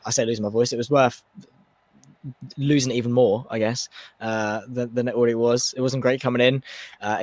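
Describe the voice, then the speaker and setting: Hoarse guy, voice nearly gone, joking about it, dry sound. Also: medium pitch, dry recording. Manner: self-mocking. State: hoarse, losing his voice. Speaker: co-commentator. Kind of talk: casual chat.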